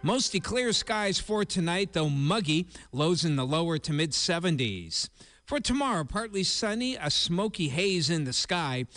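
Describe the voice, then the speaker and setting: A man reading a weather update as a meteorologist, in a steady, informative way. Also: fairly high pitch. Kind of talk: weather report.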